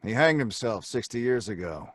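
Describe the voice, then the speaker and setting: A man's voice: mid-range voice, lively intonation, moderate pace, no echo, clean studio sound, natural voice. An actor playing a cocky, slangy robber and gang leader who tells his story in old time radio crime drama style. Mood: bossy, dismissive. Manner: slangy, hard-boiled, colloquial.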